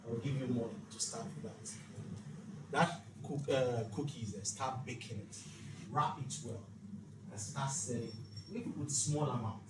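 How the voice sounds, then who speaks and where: A man's voice: mid-range voice, moderate pace. In a reverberant room, a man leads a motivational session, picked up a little way from the mic with noticeable noise behind him. He teaches like a preacher, stressing his points and putting rhetorical questions to the group. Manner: preacherly, emphatic, uses rhetorical questions and acted-out dialogue. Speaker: speaker leading a financial literacy leadership session. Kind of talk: motivational faith-based teaching talk to a live group. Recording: echoey room, distant mic, clear background noise.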